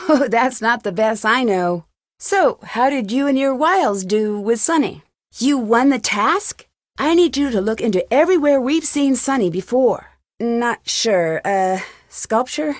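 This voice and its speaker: An actress playing a young amateur detective in a video game, upbeat, caring and wry, with a friendly, lightly teasing delivery. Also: female voice, fairly high pitch, expressive, unhurried, no room sound, clean studio sound. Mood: wry, curious. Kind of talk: scripted video game voice acting.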